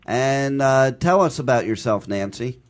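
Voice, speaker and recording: A man's voice: medium pitch, expressive, measured pace. A man hosting a talk radio show, talking in a loose, upbeat way with many fillers.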